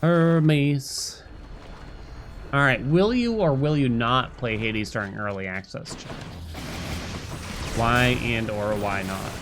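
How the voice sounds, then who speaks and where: A man's voice: medium pitch, slow, pauses often, noisy background. A man livestreaming a video game, musing aloud and chatting casually with his viewers in a conversational way. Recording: game music behind the voice.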